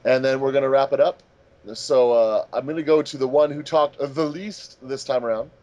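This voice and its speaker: A man with a mid-pitched voice hosts an informal group commentary. He rambles a little, hesitates as he goes and hands out compliments in a conversational way. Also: fairly even intonation, normal speed, a little mellow, highs cut off. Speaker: host of a fandub commentary track.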